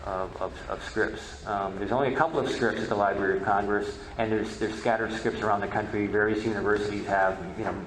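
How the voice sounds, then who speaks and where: Low-voiced man speaking in an echoey room. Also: steady tone, fairly quick, few pauses, fairly bright tone. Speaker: panel speaker on radio history. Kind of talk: conference presentation, explanatory talk. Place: roomy indoor conference presentation space. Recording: echoey, noisy room recording.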